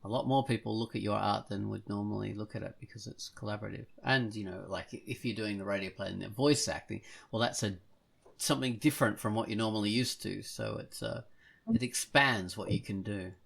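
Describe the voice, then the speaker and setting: Man, low pitch, creaky gravelly voice, casual podcast host, rambling storytelling. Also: fairly quick, a bit bright.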